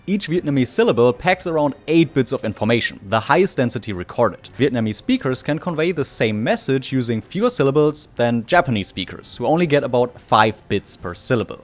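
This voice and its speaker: A man's voice: mid-range voice, big pitch swings, brisk, few pauses. A man from Germany, a native German speaker, narrating a scripted video essay as its presenter. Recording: music behind the voice. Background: soft background music.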